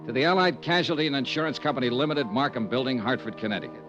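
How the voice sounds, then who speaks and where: An actor playing a tough freelance insurance investigator in a 1950s radio drama, brisk and matter-of-fact, also narrating. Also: male voice, medium pitch, animated, music in the background, natural voice. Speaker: narrator and lead. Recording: dull old radio transcription.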